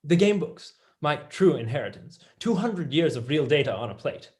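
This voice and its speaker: A young man playing a passionate, blunt, excitable mathematician in a staged play reading. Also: on the high side, normal speed. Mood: dismissive. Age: young adult.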